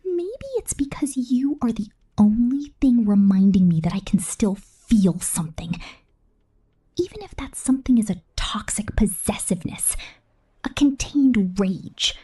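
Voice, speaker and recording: A woman voicing a possessive, cold female killer drone robot in a breathy, slow, menacing whisper for an ASMR roleplay. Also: fairly high pitch, animated, warm tone.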